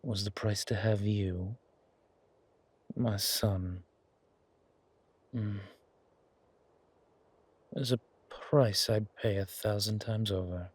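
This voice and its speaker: A man voicing an ancient, urbane vampire father, doting and predatory, with theatrical pauses in a horror audio drama. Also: on the low side, steady tone, husky, no echo, exaggerated voice. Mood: tender, musing. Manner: slow, savoring, theatrical delivery with long pauses.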